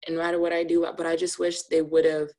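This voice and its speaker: A teenage girl from Ghana talking earnestly on a video call from a small room, with frequent restarts. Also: fairly low pitch. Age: teens. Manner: earnest, animated, quick restarts. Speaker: guest. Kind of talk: casual video call chat. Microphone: phone at arm's length on video call.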